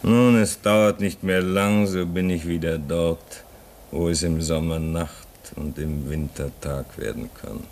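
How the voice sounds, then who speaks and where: A man's voice: deep voice, unhurried, a little mellow, slight background noise. An actor in a German radio play as a homesick, restless man at a polar station, brooding and theatrical, swinging between muttering and outcry. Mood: brooding, weary.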